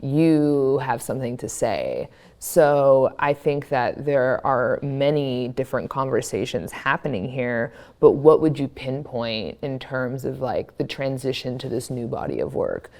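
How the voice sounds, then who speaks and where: A female curator interviewing an artist in a relaxed, engaged way, framing her questions conversationally. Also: rumbling low, unhurried, few pauses. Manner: engaged, affirming.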